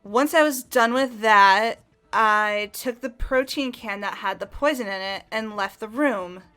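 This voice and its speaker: A woman's voice: medium pitch, a little slow, sharp tone, clear, resonant tone, faint music behind. A woman voicing a flustered young female suspect who stammers and gets defensive under questioning. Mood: nervous. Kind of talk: fan voice acting of a game.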